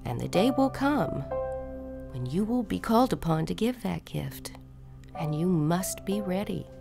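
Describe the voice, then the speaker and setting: A woman playing a solemn, gentle motherly mentor giving earnest, measured counsel in a storybook drama. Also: fairly low pitch, lively intonation, creaky. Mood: solemn, tender. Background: music behind the voice.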